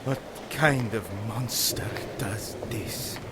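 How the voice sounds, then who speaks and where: Actor as a solemn priest, unhurried formal speech, medium pitch, radio drama. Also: male voice, heavy background noise, close to an everyday voice. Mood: horrified. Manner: formal, measured.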